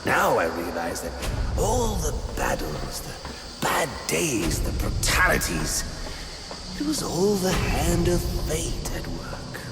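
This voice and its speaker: Manic, wildly swooping clown villain. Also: male voice, a little high, wildly swooping pitch, slow pace, vocal fry, loud music behind the voice, exaggerated voice. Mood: fervent. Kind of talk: video game cutscene acting.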